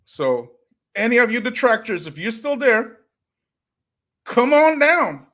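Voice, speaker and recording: A man hosting a reaction livestream, preachy and animated, swinging between loud and hushed delivery. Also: very high voice, takes it slowly. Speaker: livestream host.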